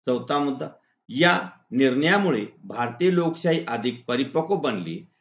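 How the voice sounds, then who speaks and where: A man's voice: mid-range voice, expressive, a little slow. Male teacher, Maharashtrian accent, instructional point-by-point delivery, muffled lo-fi recording with strong room echo. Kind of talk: exam-answer lesson, read out point by point. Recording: echoey room, dull lo-fi sound.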